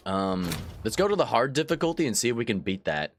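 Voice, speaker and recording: A man's voice: medium pitch, very animated, quick pace, a little hiss or hum. A man hosting a let's play, casually chatting to viewers with a slightly stuffy nose. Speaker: gaming channel host. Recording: faint game music behind.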